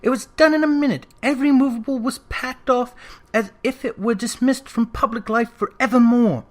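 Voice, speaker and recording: A reader giving an expressive, dramatic narration of a classic story, leaning into lists with emphasis. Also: medium pitch, moderate pace. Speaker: audiobook reader.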